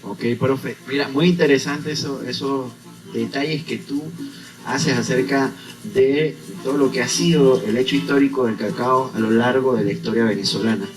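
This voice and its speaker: Distant male co-host over clear music. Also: mid-range voice, fairly even intonation, moderate pace. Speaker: radio co-host. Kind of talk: radio interview.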